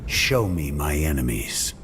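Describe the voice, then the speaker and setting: An actor playing a grim, distrustful, resolute fantasy warrior in a video game, speaking in terse, brooding phrases. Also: male voice, a bass voice, sing-song, unhurried, music in the background, heavily acted. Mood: grim, determined. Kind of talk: scripted video game cutscene acting.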